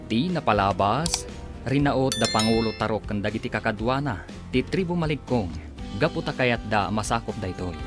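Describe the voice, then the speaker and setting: A man announcing and narrating a scripted radio drama in a measured, dramatic manner. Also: medium pitch, lively intonation. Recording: prominent music under the voice.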